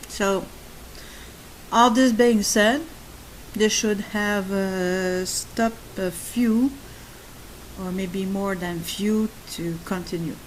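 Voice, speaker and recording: A woman with a soft voice and a non-native English accent, hosting a spoken monologue for her subscribers, talking in a halting way with many fillers and restarts. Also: mid-range voice, slight background noise, rough recording. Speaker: channel host.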